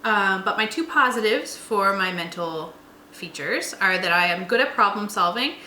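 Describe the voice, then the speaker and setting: Female co-host, mid-pitched and bright, informal chat, roomy recording with a little noise. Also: lively intonation, moderate pace. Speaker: co-host of a tabletop roleplaying channel. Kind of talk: casual chat. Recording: roomy echo, faint background noise.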